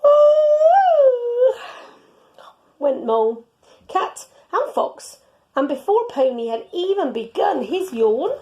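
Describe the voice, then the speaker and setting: A woman reading a children's storybook aloud in an expressive, teacherly way. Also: sits high, measured pace, dull audio.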